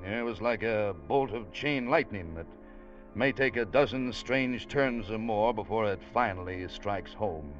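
An actor playing a wry, easygoing ship's officer, narrating in a folksy, conversational way in an old radio drama. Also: male voice, on the low side, a little slow, faint music behind, natural voice. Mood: wry, reminiscing. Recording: dull vintage radio recording.

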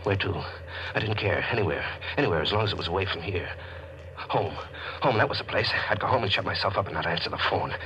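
An actor playing a possessive, guilt-ridden gambler with an intense, hard-boiled manner in a 1940s radio mystery. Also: male voice, deep voice, lively intonation, fast talker, pushed and bright, low-quality recording. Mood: panicked. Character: possessive, guilt-ridden gambler narrating his panicked downfall. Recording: vintage radio broadcast with music behind.

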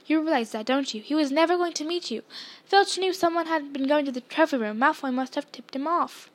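A woman reads the part of a bossy schoolgirl in a storybook. Her voice is high and raised, with an indignant edge. She scolds her friends in a snappish, exasperated way. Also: fairly quick, lightly acted. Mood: scolding. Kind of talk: storybook character voice.

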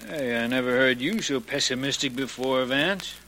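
An actor playing a blunt, informal police sergeant, plainspoken and emphatic, in 1940s radio crime drama. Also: male voice, mid-range voice, moderate pace, sharp tone, a little hiss or hum. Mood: blunt, frustrated.

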